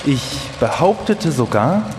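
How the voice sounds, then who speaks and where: A man's voice: a little high, big pitch swings, slow, heavy background noise. A man playing a German inventor who recalls his work reflectively in a live documentary radio play. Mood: proud, reminiscing. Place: live stage in a reverberant hall. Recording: echoey hall with long reverb.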